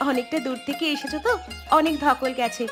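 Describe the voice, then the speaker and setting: A man's voice: very high-pitched, steady tone, fairly quick, voice pushed up. A man voicing a welcoming, fussing young village sister-in-law in a cartoon, with jingly music behind. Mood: caring. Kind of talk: cartoon character dialogue.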